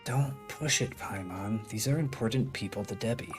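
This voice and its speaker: A woman's voice: rumbling low, moderate pace. A woman live-streaming a video game, commenting in a casual, wry, offhand way. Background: game music.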